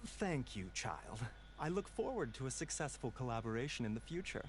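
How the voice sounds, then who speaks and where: A man's voice: medium pitch, big pitch swings, fairly quick, a little hiss or hum, natural voice. An actor playing a genial but sly male pharmacist in a video game, with polite, salesman-like courtesy. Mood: agreeable, businesslike.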